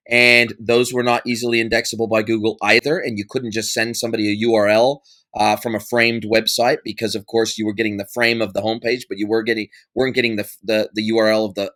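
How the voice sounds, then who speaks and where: A man in his forties with an American accent and a bright, forceful voice talks at an easy middle pitch. He tells his story loosely, with restarts and fillers, as a guest in an interview. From United States. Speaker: podcast guest, e-commerce consultant. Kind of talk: conversational interview answer.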